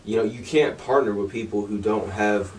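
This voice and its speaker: A fairly low-voiced man hosts a loose, casual conversation, swearing offhandedly and nodding along with short replies. His voice sits a bit back from the mic. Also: moderate pace, far from the mic, low-quality recording. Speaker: interview host. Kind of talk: casual interview talk.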